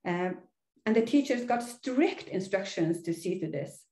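A woman's voice: mid-range voice, animated, a little slow, a little mellow, echoey room. A middle-aged woman with a Norwegian accent gives a recorded online lecture over slides, earnest and explanatory, with frequent "uh" fillers. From Norway. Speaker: university lecturer. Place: small indoor room.

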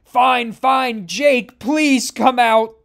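A man's voice: mid-range voice, a little slow, voice pushed down, natural voice. Brief: man playing a tense male superhero, uneasy and earnest. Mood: strained.

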